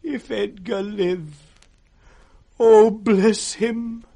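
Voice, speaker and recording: Blind old British nobleman, despairing, courteous, slow solemn verse, highish voice, radio drama. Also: fairly even intonation, creaky, dark tone, exaggerated voice. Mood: despairing prayer. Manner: solemn, measured verse speaking. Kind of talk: 1960s BBC radio Shakespeare acting.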